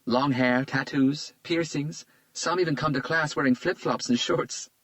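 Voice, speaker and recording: A man voicing a playful young woman, high and bright. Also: a little slow, dry recording. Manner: playful, teasing.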